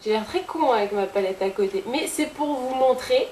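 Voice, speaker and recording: Lady chatting thru a makeup tutorial, kinda high voice, roomy echo and faint hiss. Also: lively intonation, moderate pace, a little mellow. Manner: chatty and playful, with sound effects and jokes. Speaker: beauty vlogger. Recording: echoey room with faint hiss.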